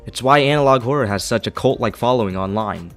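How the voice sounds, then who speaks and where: A man's voice: medium pitch, fast, faint music behind. A man reading a scripted video essay as its narrator, with an opinionated, critical manner.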